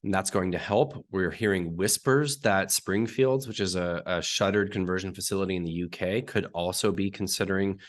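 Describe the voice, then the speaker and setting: A man with a hoarse, raspy voice from being sick, explaining technical detail step by step as an interview guest and often clearing his throat. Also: low-pitched, normal speed, hardly any pauses. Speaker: uranium market analyst guest.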